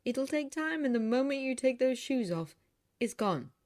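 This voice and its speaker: A woman's voice: sits high, lively intonation, moderate pace, darkish tone, voice pushed up. A woman voicing a caring elder sister in a story, explaining and cautioning in a reassuring way. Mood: gently cautioning.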